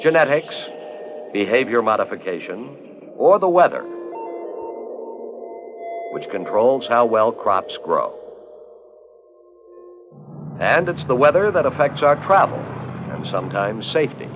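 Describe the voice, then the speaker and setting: A man's voice: medium pitch, big pitch swings, measured pace, long pauses. An American man delivering scripted narration for an educational film. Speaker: documentary narrator. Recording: dull 1970s 16mm film soundtrack with music. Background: background music.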